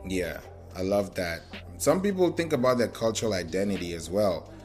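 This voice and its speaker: Low-voiced man hosting an interview. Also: animated, moderate pace, a little mellow, faint music behind. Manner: affirming, agrees readily. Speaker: interview host. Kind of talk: casual interview chat.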